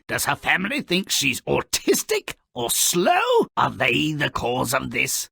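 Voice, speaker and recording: A nasty little storybook gremlin for a game, croaky n sarcastic, takes his time, swoops all over. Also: high-pitched, pushed and bright, dry recording, studio quality, heavily acted. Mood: incredulous. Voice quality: raspy, gravelly. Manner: sarcastic, drawling, theatrical. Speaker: narrator. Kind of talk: theatrical fairy-tale narration for a video game.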